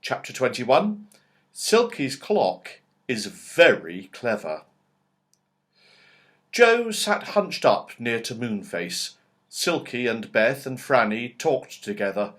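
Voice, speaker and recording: A man reading a children's story aloud with expressive narration. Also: medium pitch. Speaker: audiobook reader.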